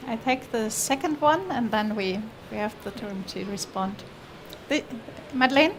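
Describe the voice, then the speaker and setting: A woman's voice: a little high, animated, normal speed, crowd noise. A woman moderating a live panel, giving short, polite instructions off the cuff. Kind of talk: impromptu event moderation. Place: stage in conference pavilion.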